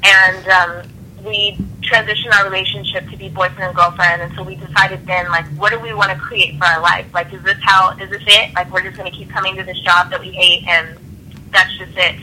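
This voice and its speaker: A woman's voice: lowish voice, little pitch movement, a little fast, bright, forceful tone, a little hiss or hum. A woman calling in as a guest, telling her story conversationally over a phone line. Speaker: phone-in guest, lifestyle brand co-founder. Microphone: phone call. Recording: tinny telephone line.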